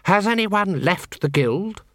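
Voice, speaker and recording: Man doing icy clipped guild master, higher voice, slow deliberate orders, dry sound. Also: expressive, no echo, heavily acted. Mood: commanding. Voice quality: sharp, curt, commanding. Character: clipped, cold male assassins' guild head.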